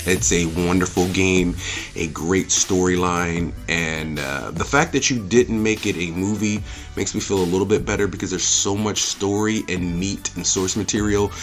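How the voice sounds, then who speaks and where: A man's voice: sits low, fairly bright tone. A man hosting a review channel, speaking off the cuff in a blunt, emphatic, opinionated rant full of rhetorical questions and swearing. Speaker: host of a movie and game review channel.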